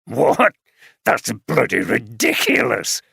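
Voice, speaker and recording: A man's voice: medium pitch, wildly swooping pitch, slow, creaky, sharp tone, husky, no echo, studio quality, exaggerated voice. Man doing a plainspoken brewery worker. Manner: plainspoken, dismissive. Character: Yorkshire brewery worker, former cooper, scornful.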